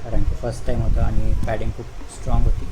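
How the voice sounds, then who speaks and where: A man's voice: on the low side, monotone, fast, heavy background noise. A man giving an informal, hands-on step-by-step tutorial, addressing viewers as friends and mixing in English words. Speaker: vlogger giving a product installation tutorial. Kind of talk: casual step-by-step hands-on demonstration.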